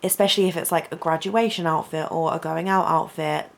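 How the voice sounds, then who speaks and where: Young woman, student vlogger, low gravelly voice, casual rambling bedroom chat. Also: steady tone, a little fast. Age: young adult. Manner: chatty, rambling, lots of "like" and "um".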